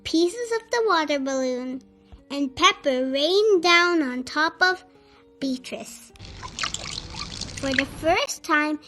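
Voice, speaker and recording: Female children's storyteller, high and bright, unhurried and animated, light music underneath. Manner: animated, deliberate, enunciated storytelling. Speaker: storyteller reading a children's book aloud. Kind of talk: expressive read-aloud for young children. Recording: music behind the voice.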